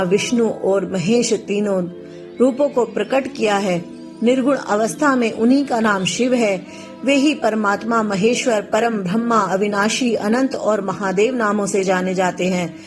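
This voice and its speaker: A woman voicing a serene, kindly male god who gently and formally instructs a devotee in a scripture story. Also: medium pitch, natural voice.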